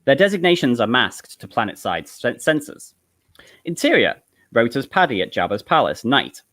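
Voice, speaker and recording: Animated man narrating a comedic script. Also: mid-range voice, normal speed. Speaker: narrator reading stage directions. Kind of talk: live comedic script table read, narration.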